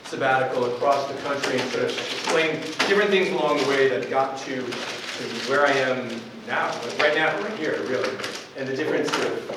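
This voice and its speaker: A man in his forties from Buffalo, New York, giving a relaxed public lecture as a professor, with frequent ums and restarts. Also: medium pitch, normal speed, hardly any pauses. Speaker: university professor. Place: campus lecture hall. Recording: echoey hall from far off with noise.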